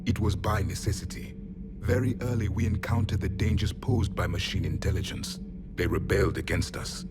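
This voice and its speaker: An actor playing a grim, proud alien warrior, the last of a fallen empire, speaking in solemn, blunt declarations for a video game. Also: male voice, lowish voice, normal speed, natural voice. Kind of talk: dramatic video game acting. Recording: dramatic music behind the voice.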